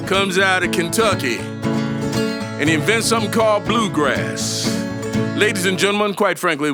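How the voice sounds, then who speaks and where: A man telling a story as a performer, in a folksy way with dramatic pauses and conversational asides, on the history of music. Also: high voice.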